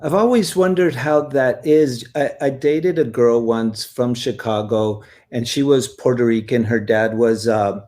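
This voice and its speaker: A man's voice: mid-range voice, measured pace, hardly any pauses, darkish tone. Curious man asking questions. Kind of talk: casual chat.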